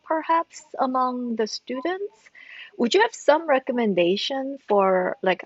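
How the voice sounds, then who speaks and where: A woman's voice: medium pitch, no echo, lo-fi sound. A woman hosting a casual interview as a parent, asking questions in a conversational way.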